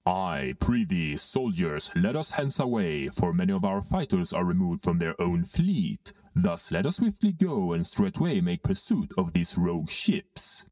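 A man playing a menacing dark lord commanding his soldiers in grandiose Elizabethan verse in an audio drama. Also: sits low, sing-song, measured pace, hardly any pauses, dry recording, distant mic.

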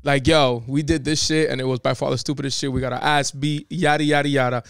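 A man's voice: medium pitch, little pitch movement, fast talker, clean tone, dry recording, studio quality. A man co-hosting a podcast, talkative and hyping things up, often repeating himself. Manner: talkative, hypes the show, restates himself. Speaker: podcast co-host. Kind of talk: casual podcast chat. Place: small podcast studio indoors. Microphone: boom mic on arm.

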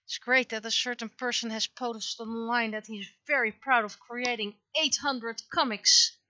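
A woman's voice: a little high, fairly even intonation, unhurried, sharp tone, no echo, muffled recording. A woman chatting casually on a livestream while she works, rambling and interrupting herself in a scattered way. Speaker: livestreamer, webcomic artist. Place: dry indoor room, livestream setup.

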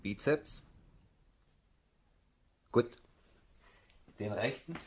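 An actor playing a brusque, condescending aristocratic officer in a German radio drama, with a husky, commanding voice. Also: male voice, medium pitch, expressive, very slow, slight background noise. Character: brusque aristocratic army officer recruiting soldiers.

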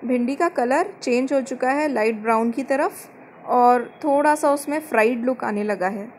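A woman giving calm step-by-step cooking instructions as the host of a home recipe channel, mixing English words into her Hindi. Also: a little high, moderate pace, slight background noise. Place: home kitchen.

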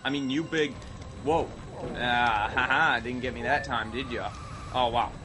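Young Midwestern guy with a cold, mid-pitch, chatty and self-deprecating while he plays. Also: steady tone, unhurried. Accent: Midwestern American. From American Midwest. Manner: rambling, self-mocking, with sudden outbursts. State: sick, coughing. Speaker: let's play host. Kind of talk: casual gameplay commentary. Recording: game music playing loud underneath. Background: game music.

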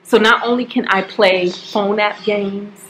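A woman in her forties, talking casually to camera at home about her monthly budget, chatty and expressive, calling her viewers y'all. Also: medium pitch, unhurried, slight background noise, poor audio. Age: 40s. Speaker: budgeting vlogger. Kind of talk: casual direct-to-camera talk. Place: home staircase, indoors. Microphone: camera at close range.

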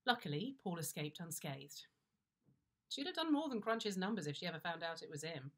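A middle-aged British woman reading a comic first-person story in a conversational, wry and confiding way with expressive timing. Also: lowish voice, fairly quick. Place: indoors, plain-walled room.

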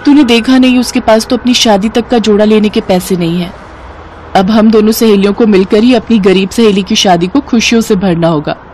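A woman's voice: mid-range voice, fairly even intonation, fairly quick, a little mellow, a little hiss or hum, natural voice. A woman voicing a rich, devoted young female friend in a cartoon story, eager and insistent. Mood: concerned. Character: rich, bubbly, devoted young girlfriend of the bride.

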